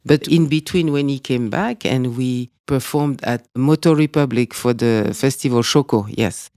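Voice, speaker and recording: French-accented man, smooth and dry. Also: medium pitch, a little mellow, dry recording. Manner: measured, reflective, with frequent "you know". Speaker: guest, dance school director. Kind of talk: conversational interview.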